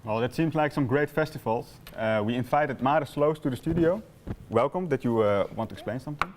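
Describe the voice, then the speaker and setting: A young man presents a campus TV magazine from a small studio, reading from cards. He speaks English at a mid pitch and restarts a phrase now and then. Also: brisk, very noisy. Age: young adult. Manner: reads from cards, some restarts. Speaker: host.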